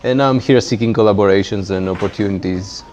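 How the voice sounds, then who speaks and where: A man's voice: on the low side, a little fast, darkish tone, faint music behind. A man from Greece with a Greek accent talks casually as a guest in a chat, with a wry, self-deprecating manner. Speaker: guest, startup founder. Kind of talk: casual fireside chat interview. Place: community tech workspace, live event. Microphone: handheld microphone. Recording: dull, muffled sound with background noise.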